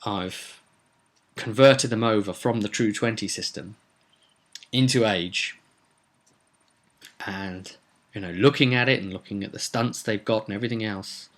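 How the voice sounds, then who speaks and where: A man with a fairly low voice speaks as a game master giving a casual recap. He rambles conversationally and leans on certain words for dramatic weight. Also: unhurried, pauses often, fairly bright tone. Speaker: game master recapping own tabletop roleplay session. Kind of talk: casual vlog recap to viewers.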